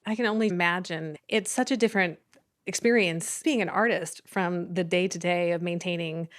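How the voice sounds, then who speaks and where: A woman with a fairly low voice hosts a podcast interview. She is encouraging and affirming as she leads the guest through her story. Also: fairly even intonation, normal speed. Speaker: podcast host. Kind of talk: interview host chat.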